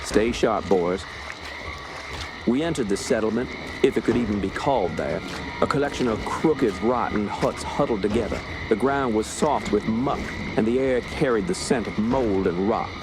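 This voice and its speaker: An actor playing a steady, authoritative police inspector, recounting a grim case in a radio drama. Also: male voice, on the low side, moderate pace, background noise, lightly acted. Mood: grim, foreboding. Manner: composed, authoritative. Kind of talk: radio drama narration and dialogue. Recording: music under the voice.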